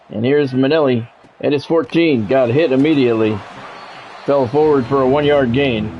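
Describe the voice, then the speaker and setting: Male sports commentator, voice a touch high, radio-style calls with offhand asides, lo-fi sound. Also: a little hiss or hum. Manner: radio-announcer phrasing with casual asides. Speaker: streamer doing play-by-play. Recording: dull, lo-fi audio with music behind.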